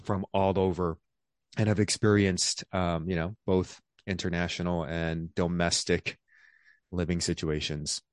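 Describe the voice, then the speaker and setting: A man in his thirties with a very low, deep voice talks in an easygoing, rambling way. He fills his sentences with "um" and "you know" as he answers questions as a guest. His voice sounds close and dry, with no room sound. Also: level tone, measured pace, no echo. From born in South Korea, raised across the United States. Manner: easygoing, rambling, many fillers. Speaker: guest, physician. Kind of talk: casual interview conversation.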